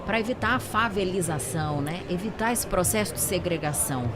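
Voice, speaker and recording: A woman's voice: lowish voice, fairly quick. A Brazilian woman co-hosting a podcast in a casual interview conversation. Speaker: podcast co-host. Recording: busy trade fair background noise.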